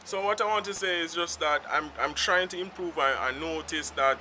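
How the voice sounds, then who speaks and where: A man's voice: a little high, moderate pace, sharp tone. A man with a Jamaican accent, whose first language is Patois, chatting unscripted to camera outdoors in a rambling, free-flowing way. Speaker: vlogger. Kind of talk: casual talk to camera. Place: outdoors in town, in the sun. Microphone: self-filmed camera. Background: faint street traffic.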